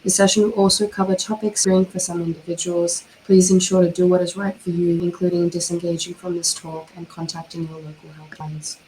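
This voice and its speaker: A hoarse woman, her voice worn down by a cold, delivers a measured, formal presentation over a video call. Her pitch sits low and stays flat, and a faint hiss runs under the line. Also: poor audio. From Australia. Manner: measured, formal, with occasional fillers. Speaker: panel speaker, cyber criminologist. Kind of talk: formal prepared online presentation.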